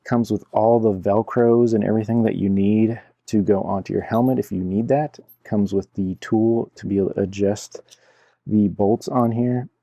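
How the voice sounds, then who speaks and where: A man's voice: fairly low pitch, steady tone, moderate pace, creaky, mellow, no room sound, dull audio. A man giving a casual hands-on product review in an informal, explanatory way, repeating himself as he goes. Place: indoor room at a cluttered computer desk.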